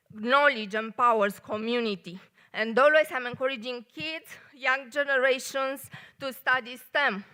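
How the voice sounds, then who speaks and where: Bright Romanian-accented woman giving a talk. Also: high-pitched, clear, resonant tone. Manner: careful non-native phrasing with many pauses. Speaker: guest speaker, engineer. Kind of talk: short live talk to an audience. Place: café event space.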